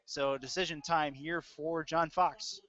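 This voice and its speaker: A man with a fairly high voice gives live football play-by-play in a conversational manner. The sound is dry and slightly dull, like a lo-fi audio stream. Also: fairly even intonation, a little slow, fairly bright tone, no echo. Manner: conversational between plays. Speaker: play-by-play sports announcer.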